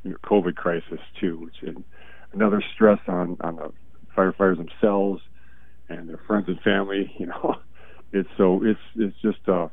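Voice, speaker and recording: Deep-voiced man, hoarse and stuffy, halting delivery, heard over a phone line. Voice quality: gravelly. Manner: slow and halting, often trailing off quietly. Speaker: guest expert on call-in. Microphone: phone call. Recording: tinny phone line, dry.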